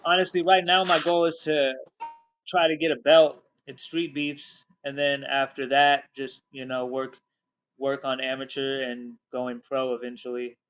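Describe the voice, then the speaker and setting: A man's voice: medium pitch, flat delivery, a little slow, a bit bright, dry recording, muffled recording. A man in his thirties, a guest fighter in a casual interview, earnest and full of "you know" and "honestly". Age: 30s.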